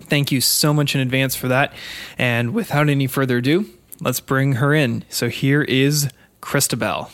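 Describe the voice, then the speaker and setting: A man's voice: medium pitch, steady tone, normal speed, a bit bright, no echo, clean studio sound. A man hosting a podcast, introducing guests and talking directly to listeners in an informal way. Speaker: podcast host.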